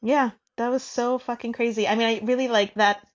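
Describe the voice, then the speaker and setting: A woman's voice: fairly high pitch, fairly even intonation, fairly quick, dull audio. A woman co-hosting a podcast in casual, candid conversation, informal and ready to tease or challenge. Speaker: podcast co-host.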